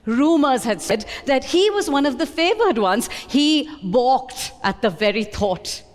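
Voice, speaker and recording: Female reader, high-pitched and unhurried, theatrical and expressive, reading a biography aloud in a reverberant hall. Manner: measured, expressive, theatrical delivery. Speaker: reader at a book event.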